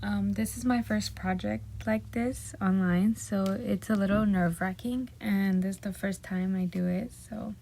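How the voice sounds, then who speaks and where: A woman whose first language is Spanish gives a nervous, hesitant class presentation, with many pauses, ums and restarted words. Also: mid-range voice, fairly even intonation, vocal fry, warm tone. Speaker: student presenting a project.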